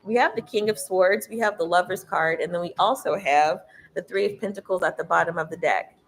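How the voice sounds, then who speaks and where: A woman with a loud, carrying voice giving a casual tarot reading, emphatic and preachy, swearing freely. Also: lowish voice, brisk, poor audio. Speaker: tarot reader.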